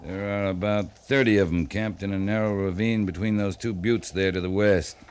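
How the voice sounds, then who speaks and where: An actor playing a seasoned, decisive American cavalry captain who gives clipped, authoritative orders in a 1950s radio western. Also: male voice, low voice, fairly even intonation, normal speed, darkish tone, natural voice. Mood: firm, methodical. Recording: noisy 1950s radio broadcast recording.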